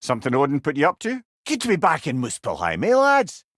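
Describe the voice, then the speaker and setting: An actor playing a wry, clever older male storyteller companion in a video game, with a Scottish accent and a droll, expressive manner. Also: on the high side, fairly quick, no room sound, clean studio sound, heavily acted. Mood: wry, curious. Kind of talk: video game character dialogue.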